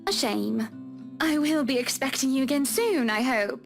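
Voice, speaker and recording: Playful posh-mannered young wife in a vn game, high voice, music in the back. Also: female voice, expressive, moderate pace. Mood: playful, warm. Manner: genteel, playful, teasing. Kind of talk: visual novel voice acting. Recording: music playing behind.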